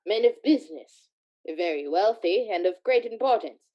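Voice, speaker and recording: An actress playing an earnest, uneasy miser of business in a radio play, with a formal, proper manner. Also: female voice, mid-range voice, animated, moderate pace, natural voice. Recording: muffled, dull audio.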